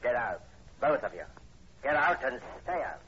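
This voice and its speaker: A man's voice: medium pitch, sing-song, normal speed, close to an everyday voice. An actor playing an ill-tempered, reclusive landowner in his forties, curt and threatening, in 1940s radio horror style. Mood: hostile, threatening. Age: middle-aged. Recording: dull, noisy old radio broadcast recording.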